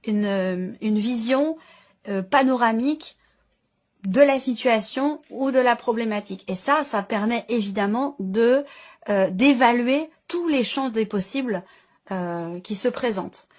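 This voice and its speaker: A woman with a fairly high voice reads tarot cards aloud for her viewers. She improvises as she goes, searching for words and rephrasing each idea in several ways. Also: a little slow, a little mellow. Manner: hesitant, searching phrasing with repeated enumerations. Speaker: tarot card reader and channel host. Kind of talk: intuitive tarot reading, improvised spoken commentary.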